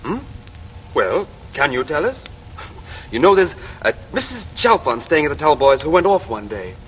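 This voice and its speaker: A lively, fairly high voice from a prying English houseguest. He is fussy and inquisitive in old-time radio style, with long reverb on the recording. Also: moderate pace, a little hiss or hum. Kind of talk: 1940s radio acting.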